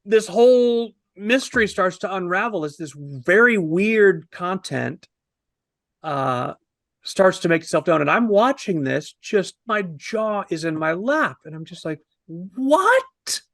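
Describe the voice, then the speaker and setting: A man in his fifties telling a story in a casual, animated review on a video call, wordy and posing himself questions. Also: sits high, takes it slowly, no room sound, studio quality. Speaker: film review panelist.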